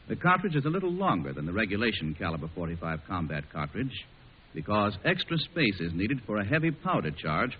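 A man announcing on 1940s radio, reading a scripted sponsor message in a husky voice. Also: mid-range voice. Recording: lo-fi old 1940s radio broadcast.

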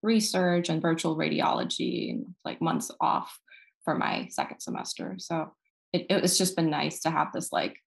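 Female panelist from Texas, chatty, low and gravelly. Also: normal speed. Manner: relaxed, chatty. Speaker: medical graduate panelist. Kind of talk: casual panel talk.

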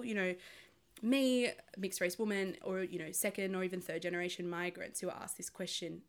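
A woman from Sydney with an Australian accent, hosting a casual podcast chat and often laughing as she tells stories. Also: lowish voice. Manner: chatty and animated, laughs often while telling stories. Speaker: podcast host.

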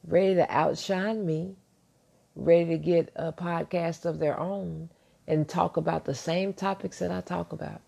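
A man hosting a casual motivational podcast monologue. He talks in a soft, deep voice, quietly so as not to wake his neighbors, and sounds self-assured and reflective, rambling with restarts. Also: creaky. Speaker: podcast host. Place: at home, early morning.

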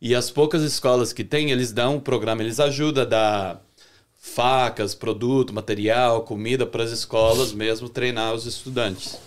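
Brazilian guy, chef on a podcast, smooth clear voice, middle pitch, chill and rambly. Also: moderate pace. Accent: Brazilian Portuguese. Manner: relaxed, talks with many restarts and self-corrections. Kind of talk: casual interview chat.

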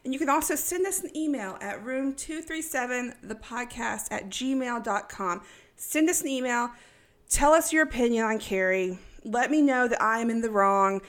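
A woman's voice: on the high side, normal speed, fairly bright tone. A woman from East Texas co-hosting a casual podcast, blunt and jokey, laughing often. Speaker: podcast co-host.

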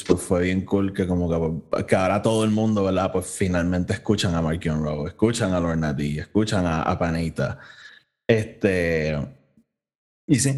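Low-voiced man, casual podcast host. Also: darkish tone. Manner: mixes English phrases into Spanish; informal, slangy. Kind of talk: casual review chat.

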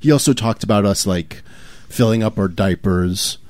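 A man co-hosting a podcast with a baritone voice, laid-back and chatty, often laughing. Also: moderate pace, darkish tone, dry recording. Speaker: podcast co-host. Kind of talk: casual comedic chat.

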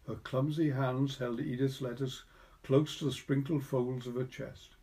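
A man's voice: medium pitch, fairly even intonation, a little slow, warm tone. A man from Wales with a Welsh accent, narrating a literary short story. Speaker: story reader.

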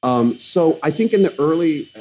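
A man's voice: fairly high pitch, lively intonation, moderate pace, a little mellow, soft background music, low-quality recording. A male stage actor in an interview, talking thoughtfully with frequent restarts. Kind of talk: conversational interview.

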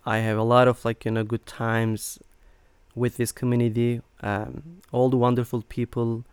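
Man, hesitant and clear. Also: mid-range voice, level tone, moderate pace, no room sound. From Turkey. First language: Turkish. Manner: hesitant, many fillers and restarts. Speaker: interview guest. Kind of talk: conversational interview.

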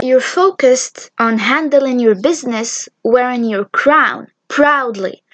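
A nineteen-year-old woman whose first language is Arabic, hosting a casual solo podcast and chatting with frequent fillers and restarts. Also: on the high side. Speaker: podcast host.

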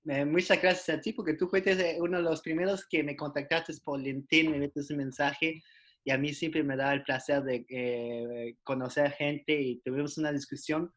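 A man's voice: fairly high pitch, fairly even intonation, normal speed, fairly bright tone. Male podcast host on a video call; hesitant delivery with frequent fillers. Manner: hesitant, many filler sounds, laughs along. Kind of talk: podcast interview hosting.

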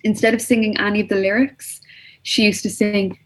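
A woman's voice: medium pitch, flat delivery, a little fast, low-quality recording. A young woman co-hosting a podcast, chatting casually and swearing freely as she tells stories. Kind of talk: casual chat.

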